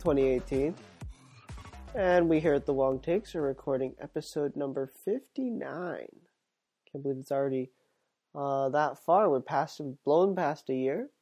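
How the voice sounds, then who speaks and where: A man's voice: a little high, animated, a little slow, faint music behind. A man hosting his own sports podcast while sick and sniffly, talking casually and rambling with plenty of fillers and restarts. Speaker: podcast host.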